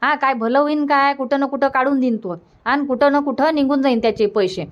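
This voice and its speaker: Woman playing a resigned old village mother, high-voiced. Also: brisk, muffled recording, lightly acted. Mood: resigned, placating. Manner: plain, placating, resigned. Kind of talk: Marathi cartoon comedy dubbing.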